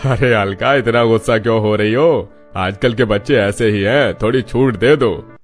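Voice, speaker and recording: A man's voice: fairly low pitch, normal speed, faint music behind, close to an everyday voice. Man doing a chill old father-in-law, mild, cartoon. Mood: placating. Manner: mild, placating. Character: easygoing older father-in-law, lenient.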